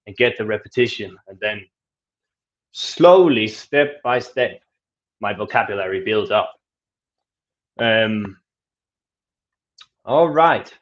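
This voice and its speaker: A man talks in an easygoing, chatty way, reading viewer comments aloud and answering them with small restarts. His voice sits at an ordinary middle pitch. The recording sounds a little dull and lo-fi. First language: Danish. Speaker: travel vlogger answering viewer questions. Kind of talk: casual live Q&A, switching between English and Spanish.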